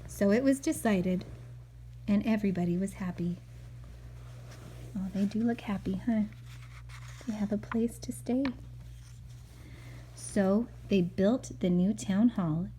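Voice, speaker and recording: A woman's voice: mid-range voice, measured pace, long pauses, mellow, a little hiss or hum, rough recording. A woman reading a picture book aloud to a child as a mother, expressively, with chatty asides and questions.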